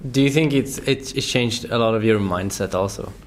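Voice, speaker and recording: A man with a lowish voice asks questions in a relaxed interview, trailing off and restarting as he searches for words. He sits a little away from the microphone, with a faint hiss behind him. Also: normal speed.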